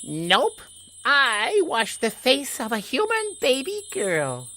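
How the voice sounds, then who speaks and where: Little water droplet character, high voice, earnest and curious, radio drama, bit of noise. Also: male voice, wildly swooping pitch, slow pace, sharp tone, full character voice. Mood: proud. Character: small cheerful weary water molecule, kindly and curious. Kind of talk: radio play acting.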